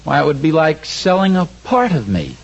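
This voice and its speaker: An exasperated, mildly pompous husband in a 1940s radio comedy. He explains fussily in a rather high voice with very animated swings of pitch. It sounds like a dull old broadcast. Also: normal speed, gravelly, very noisy. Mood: plaintive, resolute. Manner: earnest, fussy explaining. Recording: dull vintage radio recording.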